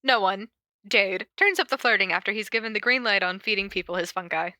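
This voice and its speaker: A woman's voice: medium pitch, animated, a little fast, bright, forceful tone, no echo. A woman podcast host with an informal, giggly manner, chatting casually and reading fan fiction aloud.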